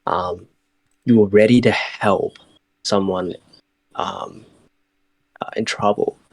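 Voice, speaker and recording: A man in an online voice chat with a low voice, halting and searching for his words. The mic is close and dry. He fills gaps with ums and repeated starts. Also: fairly even intonation, creaky, no echo, rough recording. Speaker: chat room participant.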